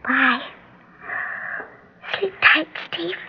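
A woman's voice: high voice, very slow, creaky, full character voice. A woman playing a sweet, earnest little girl who is a hospital patient in a 1960s radio drama. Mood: sleepy. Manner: earnest, childlike. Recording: dull, noisy old radio recording.

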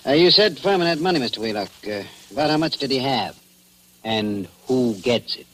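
An actor playing a wry, dogged small-town police chief in a 1940s radio drama, folksy and full of probing questions. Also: male voice, mid-range voice, lively intonation, normal speed, fairly bright tone. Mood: probing, polite. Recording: hissy old radio recording.

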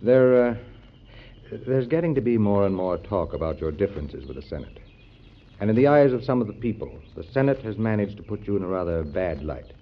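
Man acting as animated, candid presidential confidant. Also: fairly low pitch, a little fast, close to an everyday voice. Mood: concerned, candid. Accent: American. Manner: conversational, candid, tactful. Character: loyal, frank male friend and adviser to a president. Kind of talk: old-time radio drama acting. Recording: dull, lo-fi classic radio recording.

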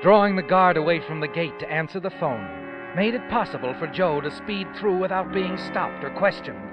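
A man's voice: high-pitched, moderate pace, lightly acted. A man acting as the sly, taunting narrator of an old-time radio thriller, speaking insinuatingly to a guilty schemer. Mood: sly, ominous. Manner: sly, insinuating, addressing the character directly. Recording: muffled vintage radio recording, music behind.

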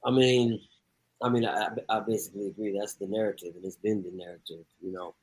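A man on a chat show, talking in a relaxed, agreeable way. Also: lowish voice, fairly quick, husky, low-quality recording. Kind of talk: casual chat.

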